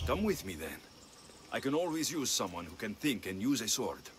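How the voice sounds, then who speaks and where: A male actor playing a steady, dutiful ancient Greek mercenary hero in a video game cutscene. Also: lowish voice, normal speed, a little hiss or hum. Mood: flirty. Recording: loud music behind the voice.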